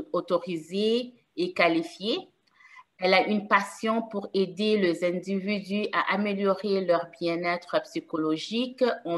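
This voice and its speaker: Female panel host on a video call, lowish voice, halting delivery with many fillers. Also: fairly bright tone. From Congo. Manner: frequent filler hesitations. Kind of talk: hesitant moderated panel talk.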